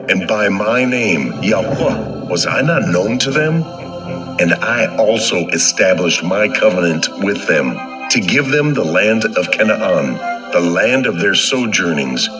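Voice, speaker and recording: A man's voice: sits low, exaggerated voice. A man voicing God as a solemn, commanding deity in a scripture audio drama. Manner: solemn, declarative. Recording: music under the voice.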